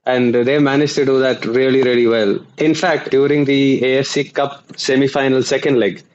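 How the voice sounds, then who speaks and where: A man, a guest journalist explaining at length with frequent pauses. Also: mid-range voice, level tone, darkish tone, lo-fi sound, slight background noise. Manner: explanatory, with many uh fillers and restarts. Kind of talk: conversational interview.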